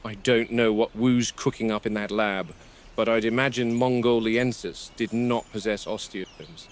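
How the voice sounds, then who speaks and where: Guy doing a gruff game warden, measured n dry, wary, found footage horror log. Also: lowish voice, fairly even intonation, slight background noise, lightly acted. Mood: wary, grim.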